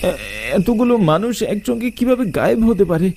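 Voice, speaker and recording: A man's voice: very high voice, brisk, creaky, mellow, a little hiss or hum, pitched above the performer's own voice. A man playing a terrified village husband in a horror cartoon, stammering and pleading in panic. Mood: bewildered fear.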